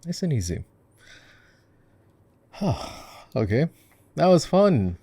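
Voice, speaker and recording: A man's voice: on the high side, very animated, slow, dark tone. A man chatting casually in an ASMR-style gaming roleplay, laid-back, encouraging and teasing as he coaches the listener. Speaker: voice actor playing a gamer boyfriend, coaching a game.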